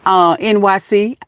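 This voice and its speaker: A woman in her fifties with a Southern Georgia accent chats to her live-stream audience, laughing easily and calling people honey and baby. Her voice sits at an ordinary middle pitch, and the sound is dry and close, with no room around it. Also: no room sound. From Georgia, USA. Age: 50s. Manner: chatty, laughing often, calls viewers baby and honey. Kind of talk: casual live-stream chat with viewers.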